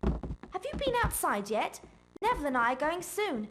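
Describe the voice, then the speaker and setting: A young woman voicing an eager, bossy schoolgirl who asks quick, chatty questions. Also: high-pitched, big pitch swings, background noise. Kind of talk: video game voice acting. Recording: music playing underneath.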